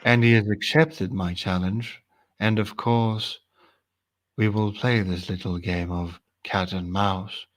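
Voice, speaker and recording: A formal, calculating admiral, done by a man speaks low and measured, with a cold precision to every word. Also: fairly even intonation. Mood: smug.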